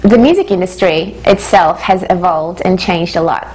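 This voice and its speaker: Soft-spoken woman singer. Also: fairly low pitch, normal speed, slightly rough, faint music behind, rough recording. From Australia. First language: Hungarian. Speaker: singer-songwriter. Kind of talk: reflective interview monologue.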